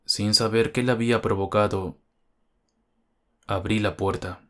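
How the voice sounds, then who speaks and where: A man's voice: on the low side, steady tone, unhurried. A man reading a scripted first-person horror story as its narrator.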